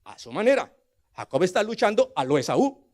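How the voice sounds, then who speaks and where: Older colombian guy preaching a sermon, voice a bit high, dramatic storyteller, goes from hushed to really emphatic. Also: sing-song, brisk. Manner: dramatic delivery, swinging from hushed asides to loud emphatic declarations; rhetorical questions to the congregation.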